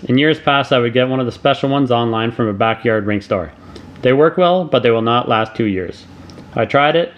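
A middle-aged man, reading a scripted voice-over tutorial in steady step-by-step instructions. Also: mid-range voice. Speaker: DIY channel host.

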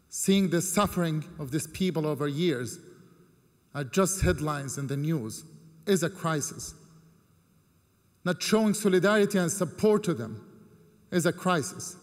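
Earnest man speaking from a podium. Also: on the high side. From Jordan. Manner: measured, earnest, deliberate pauses between phrases. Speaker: keynote speaker. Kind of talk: formal prepared speech. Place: large ornate hall with seated audience. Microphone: podium microphone. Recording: long hall reverb.

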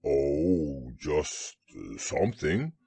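A man voicing a slow-witted, gentle, happy toy bear in a storybook, naive and hesitant. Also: a bass voice, expressive, breathy edge, no echo, pitched below the performer's own voice, exaggerated voice. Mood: happily excited.

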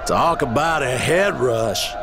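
A man's voice: mid-range voice, animated, slow pace, loud music behind the voice. An actor playing a male squadmate who trades quips in an action video game. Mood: wry.

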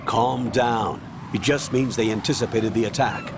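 An actor playing a stern older colonel in a video game, giving orders with clipped authority. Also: male voice, mid-range voice, normal speed, background noise, natural voice. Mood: firm briefing. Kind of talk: video game cutscene acting.

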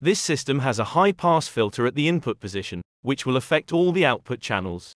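A man reading a scripted software tutorial in a measured, instructional way. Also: medium pitch, very animated, no echo, studio quality. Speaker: tutorial narrator.

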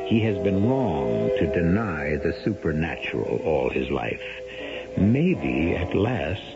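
A deep-voiced American man narrates a radio drama with slow, ominous deliberation. His voice is gravelly. Music plays behind him on a dull vintage recording. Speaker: host narrator.

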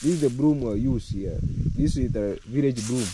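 A middle-aged man from Kenya with a Kenyan accent, hoarse from a cold, chatting to his camera while he works outdoors in his village. He repeats words and jumps up in pitch when he stresses a point. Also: moderate pace, background noise. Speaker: vlogger. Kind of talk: casual vlog to camera. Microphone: camera held at arm's length.

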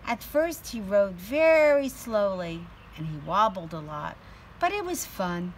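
A woman's voice: medium pitch, very animated, measured pace, rough recording. A middle-aged woman reading a picture book aloud to children in a storytelling style, recorded outdoors on a patio. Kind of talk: slow read-aloud storytelling. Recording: some background noise, outdoor ambience.

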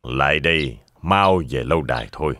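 A man's voice: very deep voice, lively intonation, normal speed, bright, forceful tone, slight background noise, heavily acted. An actor playing a genial, formal old king in a fairy-tale cartoon. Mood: stately, pleased.

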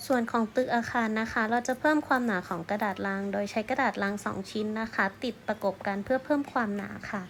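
A woman's voice: fairly high pitch, quick pace, few pauses, a little hiss or hum. A woman art teacher narrating a craft tutorial step by step in a polite, instructional way.

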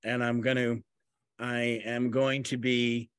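A middle-aged man, a playwright in a talkback discussion on a video call, speaking haltingly and rephrasing often. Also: mid-range voice, level tone, a bit bright, clear, resonant tone, dry recording. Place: room at home, on a video call.

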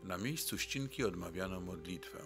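Deep male documentary narrator over music. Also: lively intonation, normal speed, creaky. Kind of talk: scripted narration.

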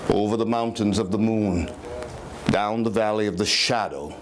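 A man performing as a solemn storyteller, reciting a haunting Gothic poem in drawn-out, declamatory phrases. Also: on the low side, steady tone, pitched below the performer's own voice, close to an everyday voice. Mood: solemn, grand. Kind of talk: theatrical poetry recitation. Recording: noisy live room recording.

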